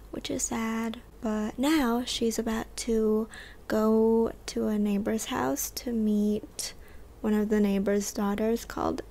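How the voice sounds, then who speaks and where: A woman's voice: fairly high pitch, creaky, a little mellow. A young woman with a slight lisp chatting casually in a reading vlog. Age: young adult. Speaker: book vlogger. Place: bedroom, indoors. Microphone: desktop or handheld condenser mic, close.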